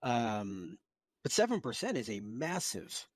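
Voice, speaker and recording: A man's voice: mid-range voice, big pitch swings, a little slow, dry recording. American man chatting, very dry sound. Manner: informal, conversational. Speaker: podcast co-host. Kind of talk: casual news discussion.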